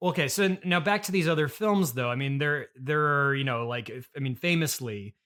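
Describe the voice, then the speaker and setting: A man hosting a casual comedy interview in a halting, stammering, agreeable way. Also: on the high side, sharp tone. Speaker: interviewer and host.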